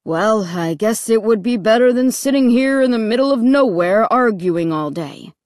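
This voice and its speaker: A woman's voice: on the high side, animated, normal speed, darkish tone, no echo, studio quality, close to an everyday voice. A woman voicing an irritated, weary father with a snappish, muttering manner in an audiobook. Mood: weary, grudging.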